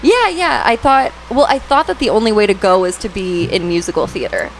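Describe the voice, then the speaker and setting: A young adult woman from Pennsylvania with a raspy voice, chatting as a podcast guest with frequent restarts. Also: mid-range voice, animated, slight background noise, rough recording. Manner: chatty, laughing, halting with restarts. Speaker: actor guest. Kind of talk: casual podcast chat.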